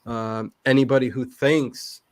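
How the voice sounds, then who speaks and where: A man's voice: mid-range voice, fairly even intonation, unhurried, a little mellow, no echo. A middle-aged man talks off the cuff as a livestream host. His speech rambles and doubles back on itself. The sound is dry, with very little room in it. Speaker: livestream show host being interviewed. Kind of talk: casual livestream chat.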